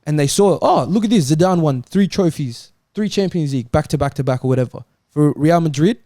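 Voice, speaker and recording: A man's voice: fairly high pitch, lively intonation, brisk, mellow, dry recording, clean studio sound. A man in a casual, insistent sports debate, arguing his point as a talk show panelist. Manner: argumentative, insistent.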